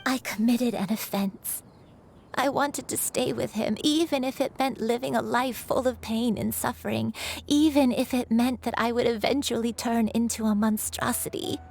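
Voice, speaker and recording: A gravelly old crane spirit. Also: female voice, a little high, steady tone, darkish tone, a little hiss or hum. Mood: remorseful. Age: older. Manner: measured, solemn storytelling. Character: elderly crane spirit in human form, dignified, remorseful. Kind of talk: video game voice acting.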